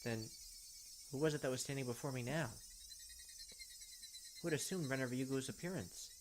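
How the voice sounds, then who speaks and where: A man hosting a game video, reading visual novel text aloud with slow suspense and dropping into casual commentary. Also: mid-range voice. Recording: clearly noisy home recording, clicks, insect sounds.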